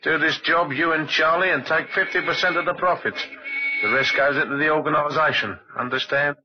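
Man acting a menacing gang boss, higher-pitched voice. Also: fairly even intonation, brisk, lightly acted. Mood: commanding. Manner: commanding, clipped, coolly threatening. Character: menacing, cocksure razor-carrying male gang boss. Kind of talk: old-time radio crime drama acting. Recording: dull, noisy vintage radio recording.

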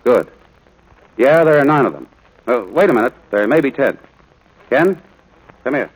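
A man's voice: medium pitch, sing-song, normal speed, slightly rough, rough recording. A man acting a stern, practical rancher father in a 1940s radio drama, blunt and commanding. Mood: stern, insistent. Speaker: actor in a radio play. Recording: vintage 1940s radio recording, music at times.

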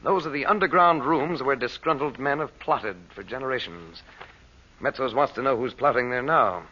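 An actor playing a calm, tactful American adventurer in an old radio drama, with an easygoing, courteous manner. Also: male voice, medium pitch, moderate pace, close to an everyday voice. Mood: thoughtful. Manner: easygoing, smooth-talking, courteous. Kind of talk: 1930s-40s radio drama acting. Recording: dull, hissy old radio recording.

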